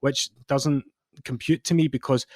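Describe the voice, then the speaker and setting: Halting, reflective male podcast co-host. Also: medium pitch, steady tone, no room sound. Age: late 20s. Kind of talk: casual wrestling podcast chat.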